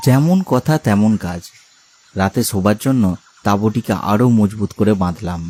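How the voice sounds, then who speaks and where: A man narrating a cartoon ghost story in a calm, measured storytelling voice. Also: lowish voice.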